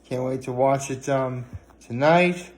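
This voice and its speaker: A man chatting casually in a solo vlog, rambling and halting as he often corrects himself. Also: mid-range voice. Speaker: vlogger. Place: indoors at home, on a couch.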